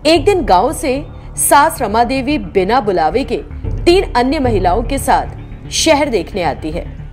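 A woman's voice: a little high, big pitch swings, measured pace, faint music behind. A woman reading a scripted story as narrator.

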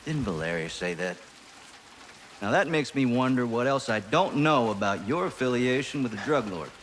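A man's voice: mid-range voice, animated, moderate pace, fairly bright tone, a little hiss or hum, natural voice. Smug Southern American mercenary commander played by a man. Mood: smug, calm. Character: smug, commanding male American private military contractor. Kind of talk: cinematic video game acting.

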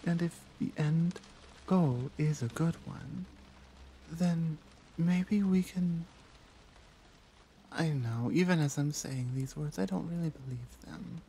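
Casting: actress as caring tigress monk, ASMR roleplay, warm dark tone, unhurried, hesitant, intimate and earnest delivery. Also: female voice, sits low, breathy edge, heavily acted. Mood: conflicted, confessing. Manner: gentle, hesitant, earnest, with pauses. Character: caring, conflicted tigress warrior monk woman.

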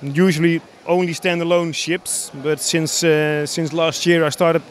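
A man in his thirties from the Netherlands with a Dutch accent, explaining his hobby builds in a casual, halting interview. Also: a little high, flat delivery. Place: large busy exhibition hall, indoors. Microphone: handheld interview microphone.

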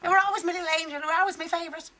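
A young woman voicing a foul-mouthed, gleeful old Irish granny ghost in a radio comedy, with a high, cackling, crude voice. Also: fairly even intonation, very fast, sharp tone. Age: young adult. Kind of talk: improvised radio comedy acting. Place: large private home.

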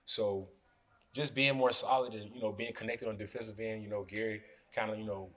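Fast-talking young man at a press podium. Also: fairly low pitch. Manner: relaxed, fills with "you know" often. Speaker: basketball player. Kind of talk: postgame press conference. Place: press room in front of a sponsor backdrop. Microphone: podium mics in front of him.

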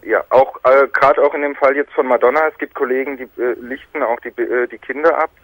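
A man's voice: mid-range voice, steady tone, quick pace, no room sound, low-quality recording. A man calling in to a talk show, chatting casually in a frank, matter-of-fact way. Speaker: caller, celebrity photographer. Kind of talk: casual phone-in conversation.

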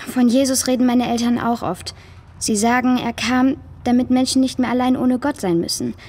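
A female performer playing a troubled, downhearted girl in a children's audio drama, with a high, husky voice. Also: fairly even intonation, moderate pace, darkish tone. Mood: worried, doubtful. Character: troubled, downhearted foster girl lost in a cave.

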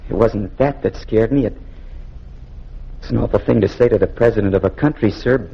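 An actor playing an earnest, humble young Union soldier with an American accent and folksy, hesitant speech in a 1940s-style radio drama. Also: male voice, lowish voice, brisk, creaky, slightly rough. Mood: haunted, confiding. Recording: muffled old 1940s radio recording.

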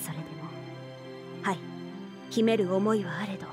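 A woman playing a devoted young half-oni samurai archer in a mobile game, speaking formal, reverent Japanese in a soft voice. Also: medium pitch, normal speed, close to an everyday voice. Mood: wistful, grave. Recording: studio voice over game music.

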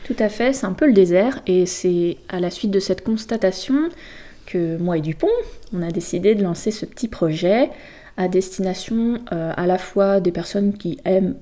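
Woman guest explaining stuff, kinda low mellow voice, casual but halting n hesitant. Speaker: guest presenting a volunteer project. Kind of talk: casual explanatory interview talk.

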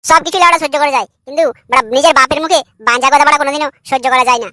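A man's voice: very high voice, rapid-fire, sharp tone, dry recording, studio quality, exaggerated voice. A man voicing a crude, irritable young husband in a comedy cartoon, brash, argumentative and foul-mouthed. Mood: boastful, insistent.

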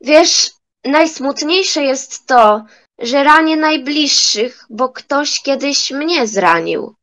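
High-voiced, slow young woman playing a theatrical grandmother. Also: lively intonation, a bit bright, full character voice, poor audio. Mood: remorseful. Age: young adult. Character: grumpy old grandmother turned remorseful and joyful. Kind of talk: amateur school audio drama.

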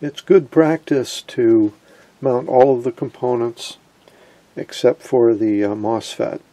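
A man giving an informal hands-on electronics tutorial in a gravelly, slightly husky voice, posing questions and answering them. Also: medium pitch, slow pace, mellow. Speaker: electronics hobbyist presenter.

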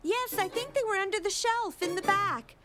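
A woman's voice: very high-pitched, moderate pace, over loud music. A woman playing a busy mother in a children's cartoon. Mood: brisk. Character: busy mother of a little girl. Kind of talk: cartoon voice acting.

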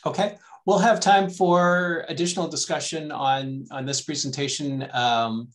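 A man's voice: on the high side, fairly even intonation, a little slow, fairly bright tone. A middle-aged man informally moderating an online scientific meeting over a video call.

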